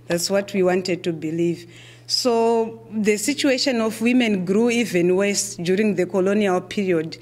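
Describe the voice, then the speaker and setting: A middle-aged woman with a Zimbabwean accent giving a conference keynote lecture, speaking in a measured way with frequent fillers and repeated words. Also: medium pitch, a little mellow.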